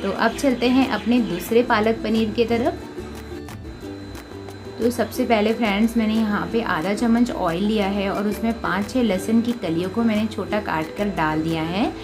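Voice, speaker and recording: Indian female home-cook host, mid-pitched instructional recipe narration, prominent music bed underneath. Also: normal speed. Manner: instructional, addresses listeners as friends often. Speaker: home cooking video host. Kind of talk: recipe voice-over, step-by-step instruction. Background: prominent background music.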